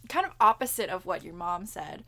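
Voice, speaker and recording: A woman's voice: fairly low pitch, expressive, a little fast, bright, forceful tone. A young woman with Cantonese as her first language, co-hosting a podcast in a chatty, giggly way and swearing casually. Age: young adult. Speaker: podcast co-host. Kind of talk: casual chat.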